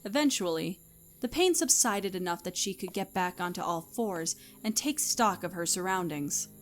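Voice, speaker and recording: Animated woman narrating a story. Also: lowish voice, moderate pace, faint music behind. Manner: expressive storytelling.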